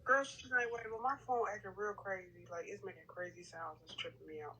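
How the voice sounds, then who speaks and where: A woman's voice: medium pitch, steady tone, brisk, bright, forceful tone, breathy edge. A middle-aged woman, a mother, chatting casually over a phone call. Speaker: vlogger's mother.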